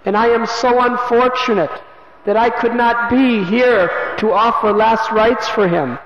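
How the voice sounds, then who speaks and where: A man's voice: very high voice, fairly even intonation, measured pace, a little hiss or hum, pitched above the performer's own voice. A man voicing a grief-stricken young prince, who presses anxious questions in a raised, strained voice. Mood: anguished. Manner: raised, anguished, urgent questions. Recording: echoey, dull lo-fi room recording.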